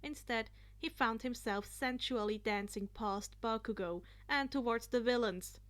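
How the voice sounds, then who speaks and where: A woman narrating fan fiction aloud in an expressive, playful amateur style, now and then stumbling over a word. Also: a little high, a little slow. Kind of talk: expressive amateur story reading.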